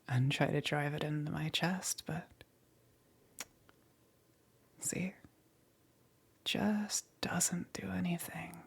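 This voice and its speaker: A woman playing a smug, teasing demon queen in an ASMR roleplay, with a breathy, whispery voice and a taunting, seductive menace. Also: low-pitched, full character voice. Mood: mocking. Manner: slow, taunting, punctuated by soft chuckles.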